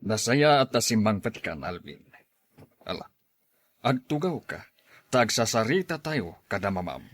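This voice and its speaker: A man's voice: fairly low pitch, sing-song, measured pace, pauses often, fairly bright tone, no room sound, exaggerated voice. A man with an Ilocano accent playing a domineering middle-aged father in an audio drama. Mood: stern. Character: domineering father pressing his adult son. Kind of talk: Ilocano radio drama acting.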